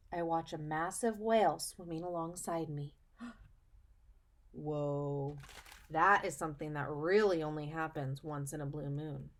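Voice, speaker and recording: A woman teacher reading a story aloud for children, explaining as she goes and pausing to put questions to the listener, with emphasis on key phrases. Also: deep voice. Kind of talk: read-aloud lesson for children, asking questions.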